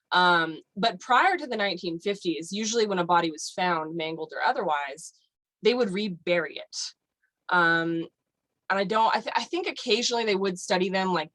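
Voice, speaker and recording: Low-voiced woman explaining, full of fillers. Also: a bit bright, dry recording, rough recording. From United States. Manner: rambling, explanatory, many fillers. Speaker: guest. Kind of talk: casual podcast chat.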